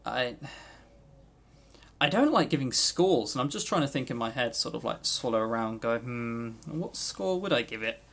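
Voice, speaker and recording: A man's voice: mid-range voice, expressive, moderate pace, creaky, a bit bright. A man co-hosting a podcast, talking casually and informally, with opinionated but hesitant delivery. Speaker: podcast co-host. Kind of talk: casual game review chat.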